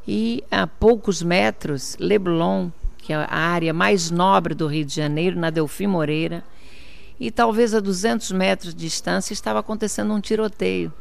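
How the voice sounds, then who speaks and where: A woman's voice: lowish voice, creaky. An older woman from Portugal, answering an interview as a city councillor, insistent and repeating her points for emphasis.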